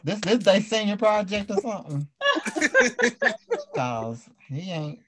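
A man's voice: high-pitched, animated, takes it slowly, slight background noise. Man, talk show host, playful, teasing, slangy, casual chat.

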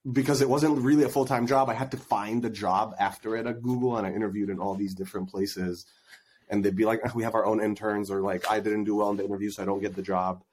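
A man's voice: lowish voice, a little mellow, husky. A man from Egypt telling stories casually as a podcast guest, in informal, slangy speech full of quoted asides. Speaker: podcast guest, product marketer. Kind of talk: casual conversational storytelling.

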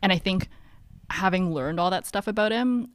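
A woman's voice: medium pitch, normal speed. Chatty young woman podcast co-host. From Hong Kong. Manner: chatty, heavy use of "like", storytelling. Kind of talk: casual podcast chat.

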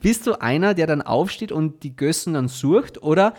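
A man's voice: fairly high pitch, lively intonation, moderate pace, darkish tone. Austrian man with a stuffy nose. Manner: cheerful, laughs often, chatty storytelling. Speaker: podcast host. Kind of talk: casual chat.

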